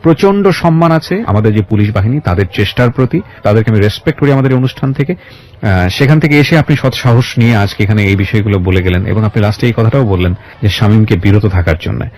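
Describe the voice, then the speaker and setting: A man's voice: fairly low pitch, fast, a little mellow. A man with a Bangladeshi accent hosting a radio interview, speaking in an emphatic, moralizing way and mixing in English words. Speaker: radio show host. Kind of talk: radio interview with reflective monologue to listeners.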